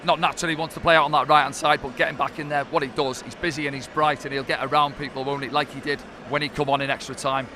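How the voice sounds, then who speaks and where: A man's voice: a little high, level tone, fast talker, few pauses, pushed and bright, a little hiss or hum. A man, a former player, giving live football analysis, chatty, with fillers and tag questions, speaking outdoors at a stadium. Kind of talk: live pre-match analysis. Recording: music playing behind.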